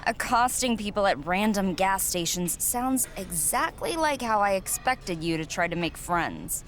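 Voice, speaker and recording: Sneering, expressive female wrestling rival by an actress. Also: medium pitch, a little slow, a little hiss or hum, natural voice. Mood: scornful. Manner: sarcastic, mocking. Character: scornful, sarcastic female wrestling rival, cocky and mean. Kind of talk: video game cutscene acting.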